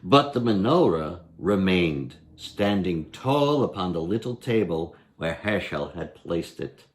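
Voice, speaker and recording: Slow, animated older male storyteller. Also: low-pitched. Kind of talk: expressive story reading. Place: indoor room at home, by lit candles.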